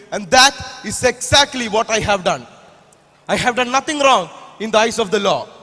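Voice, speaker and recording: A man with an Indian accent playing a smooth-talking, self-righteous politician in a stage drama, measured and pompous. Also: very high voice, pushed and bright, full character voice. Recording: echoey hall, stage play.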